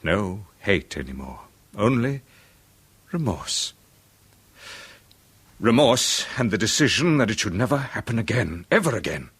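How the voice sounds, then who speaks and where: An actor playing a tormented man in a 1970s radio drama, talking haltingly with bitter nervous laughs. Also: lowish voice, big pitch swings, a bit bright, slightly rough, full character voice. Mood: haunted confession. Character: tormented middle-aged male musician, haunted and bitter.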